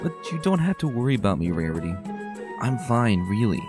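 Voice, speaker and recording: A man's voice: fairly low pitch, sing-song, a little fast, over music, voice pushed up. A man voicing an earnest, bookish young woman unicorn who sounds mildly exasperated.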